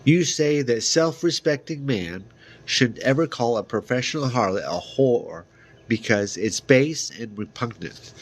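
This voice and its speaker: A man reading a fantasy novel aloud as an amateur, halting at times, with small stumbles and repeated words. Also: mid-range voice. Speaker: amateur reader.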